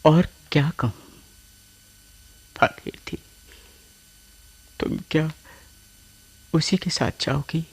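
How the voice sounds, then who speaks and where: An anguished, defeated husband in a radio play. His voice is breathy and rough-edged. He drags out his pleading in slow, broken pieces. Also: on the high side, heavily acted. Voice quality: husky, breathy.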